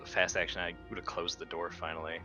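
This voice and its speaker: A man's voice: sits low, level tone, fairly quick, highs cut off. A man playing a tabletop roleplaying game, casually stating his character's moves. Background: background music.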